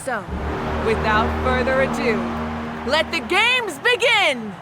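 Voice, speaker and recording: A woman playing a regal, confident warrior ruler in a video game, giving a ceremonial speech in a measured, declamatory manner. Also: high voice, big pitch swings, loud music behind the voice, heavily acted. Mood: solemn, rousing.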